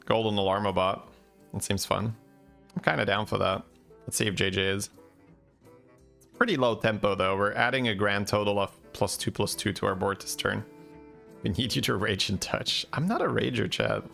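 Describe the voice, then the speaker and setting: A man giving relaxed, chatty game commentary and answering chat questions, with faint music behind. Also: fairly low pitch, vocal fry. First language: Dutch. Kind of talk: casual gameplay commentary.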